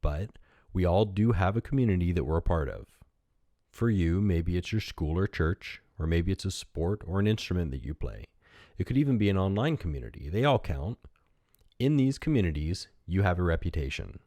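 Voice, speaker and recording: Deep voiced guy telling a kids story, really dry close sound. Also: animated, moderate pace, dark tone, no room sound. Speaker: storyteller, podcast host. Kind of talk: read-aloud children's story narration.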